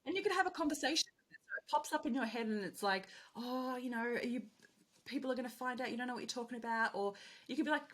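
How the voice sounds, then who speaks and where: A husky-voiced woman speaks as a podcast guest, talking with lively energy about getting past self-doubt. She slips into little acted-out inner voices as she coaches. Her tone is slightly breathy and rough. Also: on the high side, quick pace. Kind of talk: casual interview chat.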